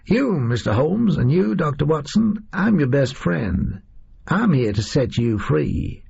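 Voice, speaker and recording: A male reader voicing a disillusioned, boastful gunman in an audio story, with a high, reedy voice and folksy, slangy delivery. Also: sing-song, slow, gravelly, a little mellow, husky, dull audio, heavily acted. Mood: smug menace.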